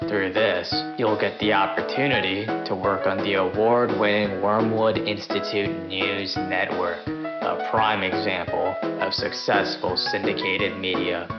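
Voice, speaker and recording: A man's voice: lowish voice, natural voice. A man playing a solemn institutional narrator reading a scripted promotional video. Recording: dull lo-fi 1990s VHS sound.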